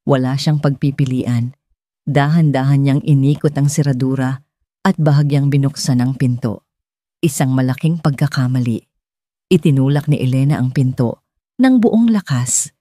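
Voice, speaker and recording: A woman's voice: extremely low pitch, moderate pace, warm tone, no echo, studio quality. A woman narrating a scripted dramatic story as an audiobook reader.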